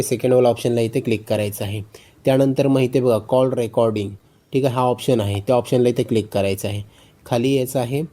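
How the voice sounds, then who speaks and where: A man's voice: mid-range voice, warm tone. A man narrating a phone tutorial step by step, often repeating instructions and check phrases. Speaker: tutorial host.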